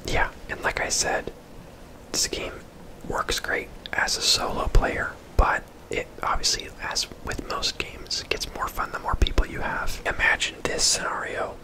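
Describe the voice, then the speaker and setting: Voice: a little slow, rough recording. Whispering ASMR gamer, barely voiced. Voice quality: breathy, unvoiced whisper. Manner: soft-spoken, rambling, casual. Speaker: gaming video host. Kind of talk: ASMR gameplay commentary.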